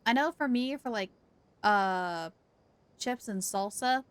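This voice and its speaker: A woman's voice: mid-range voice, takes it slowly, clean tone, dry recording. A woman live streamer chatting casually and playfully with her viewers.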